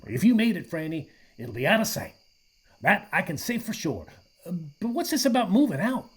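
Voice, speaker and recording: A man playing a friendly, laid-back dude with breezy slang in a radio play, his voice husky. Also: high-pitched, big pitch swings, moderate pace, exaggerated voice.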